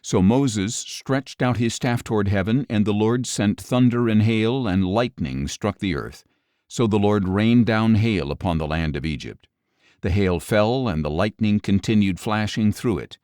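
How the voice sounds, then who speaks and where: Man, deep pitch, audiobook scripture narration, measured and solemn, dry studio-like sound. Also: expressive, darkish tone, dry recording.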